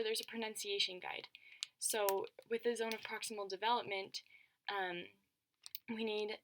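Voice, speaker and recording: A young woman talks through a class presentation in a thoughtful, halting way, restarting her sentences and filling gaps with ums. Her voice is bright and crisp with a gravelly rasp at the ends of phrases. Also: mid-range voice, slight background noise. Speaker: education student.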